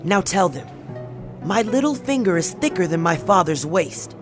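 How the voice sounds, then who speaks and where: A high-voiced, cocky young adviser. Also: male voice, very animated, moderate pace. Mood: boastful, menacing. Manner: boastful, cocky, goading. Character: arrogant, brash young male royal adviser. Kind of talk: dramatized scripture acting. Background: music.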